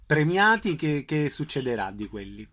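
A man's voice: on the high side, very animated, moderate pace, a bit bright. A man hosting a radio interview, asking questions and wrapping up in a conversational style.

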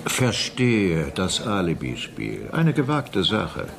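Low voiced smug boss in a radio play, very lilting, crowd noise behind. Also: male voice, wildly swooping pitch, slow pace, gravelly, full character voice. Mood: musing. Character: leisurely, knowing male company director at lunch. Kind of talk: German radio play acting. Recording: canteen scene with chatter behind.

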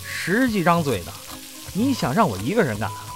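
Casting: male actor for a brisk, cheeky uncle figure in middle age, animated, audio drama over music. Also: fairly high pitch. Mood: grumbling. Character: brisk, mischievous middle-aged martial uncle. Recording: background music under the voice.

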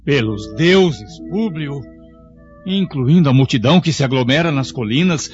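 A man's voice: on the high side, animated, measured pace. A man playing a shaken Roman senator. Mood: shocked. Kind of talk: radio play acting. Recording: dull audio with music behind.

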